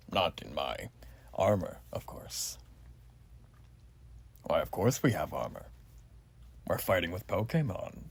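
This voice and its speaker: Earnest revolutionary soldier for ASMR roleplay, played by a man: low, very husky and whispery, formal, courteous, fiercely patriotic. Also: a little mellow, exaggerated voice. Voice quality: breathy, often dropping to a whisper. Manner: polite, formal, quaintly old-fashioned phrasing. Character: earnest, bitterly patriotic revolutionary army soldier giving recruit checkups. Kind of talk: soft-spoken ASMR roleplay.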